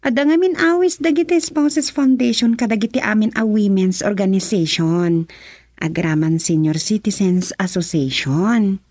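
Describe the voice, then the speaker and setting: An actress playing a busy, chatty village wife in an Ilocano comedy radio drama, with an Ilocano Filipino accent and a conversational manner. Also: female voice, medium pitch, moderate pace, a little mellow, no echo, studio quality, lightly acted. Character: busy, chatty village wife giving her husband chores.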